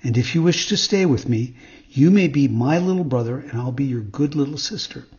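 A man voicing a kind young female fairy in a storybook, gentle and motherly. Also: medium pitch, expressive, fairly quick, dull audio, close to an everyday voice. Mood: tender.

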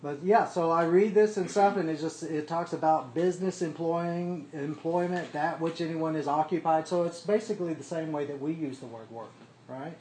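Man, Bible study leader, fairly high voice, casual explainer, roomy faintly noisy recording. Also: normal speed, darkish tone. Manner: explains step by step, checks in with "okay?" often. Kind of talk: casual teaching to a small group. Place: room with a small group. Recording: echoey room, faint background noise.

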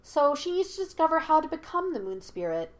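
A woman's voice: high-pitched, very animated, fairly quick, voice pushed up. A woman voicing an earnest, conciliatory young male hero in a narrated story, speaking in a placating manner.

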